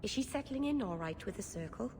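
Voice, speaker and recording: A woman's voice: on the high side, animated, fairly quick, darkish tone, a little hiss or hum. A woman voicing a kind-hearted, naive young woman in a video game, sincere and earnest. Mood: concerned. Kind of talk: video game dialogue acting.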